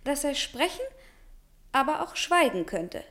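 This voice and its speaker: A fairly high young female voice in a radio drama. She comes across as earnest and frank, formal in her wording, with an uneasy edge. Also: very animated, measured pace, a bit bright, full character voice. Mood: urgent, warning. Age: young adult. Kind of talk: German radio play acting.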